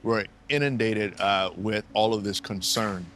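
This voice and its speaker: A man's voice: lowish voice, unhurried, fairly bright tone. A man working as an immigration attorney, answering interview questions in an earnest, explanatory way and repeating key phrases for emphasis, recorded at a law office.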